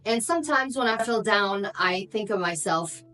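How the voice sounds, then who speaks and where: A woman in her thirties, not a native English speaker, chats straight to camera in a casual vlog. Her pitch sits in a mid range. She sounds upbeat and conversational, with easy fillers between her points. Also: animated, moderate pace, fairly bright tone. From Morocco, raised in Belgium. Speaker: vlogger, entrepreneur.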